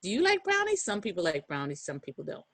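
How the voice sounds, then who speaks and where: A woman reading a picture book aloud to young children, expressive and encouraging, with chatty asides and questions to her listeners. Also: lowish voice, fast, dry recording. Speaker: children's storybook reader.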